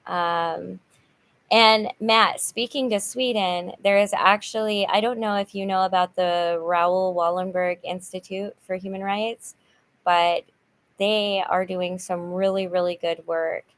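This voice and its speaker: A woman's voice: medium pitch, fairly even intonation, measured pace, fairly bright tone. A middle-aged woman hosting a casual livestream chat, upbeat and chatty, with many fillers and restarts. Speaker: channel host, nonprofit volunteer. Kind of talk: casual livestream chat reading viewer comments.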